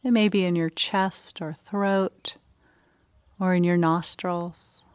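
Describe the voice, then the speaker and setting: Slow, low-pitched woman guiding meditation. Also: fairly even intonation, clean tone, dry recording. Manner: gentle, unhurried pauses between short phrases. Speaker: meditation guide. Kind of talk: guided meditation, instructional.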